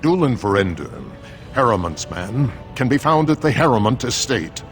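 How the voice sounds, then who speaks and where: An actor voicing a weary, exasperated dwarf steward with a formal, commanding manner in a fantasy game. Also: male voice, medium pitch, sing-song, normal speed, soft background music, lightly acted. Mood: weary, apologetic. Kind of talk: dramatic fantasy game acting.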